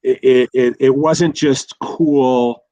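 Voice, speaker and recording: Man, casual analysis, halting repeated words, dry close sound, dull audio. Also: mid-range voice, fairly even intonation, warm tone, no echo. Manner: halting, repeats words while thinking aloud. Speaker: guest expert.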